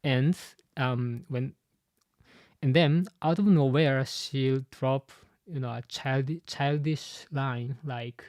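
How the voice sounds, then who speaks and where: A man with a Japanese accent, a native Japanese speaker, hosting a live-stream commentary and talking haltingly with many fillers and restarts. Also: medium pitch, a little mellow, no echo. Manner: halting, full of "you know" fillers and restarts. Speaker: channel host and translator.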